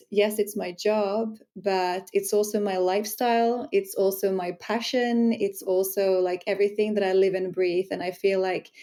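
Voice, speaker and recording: A Finnish woman with a mellow, warm tone, speaking as a podcast guest. Her talk is reflective and earnest, with long flowing thoughts, at a medium pitch for a woman. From Finland. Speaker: guest, sensuality empowerment coach. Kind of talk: podcast interview.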